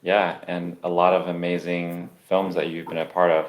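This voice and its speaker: A man with a fairly low voice hosts a podcast interview in a loose, conversational way. He restarts his questions and chips in with short interjections. His remote recording sounds distinctly roomy and echoing. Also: completely flat delivery, moderate pace. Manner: informal, restarts sentences, frequent short interjections.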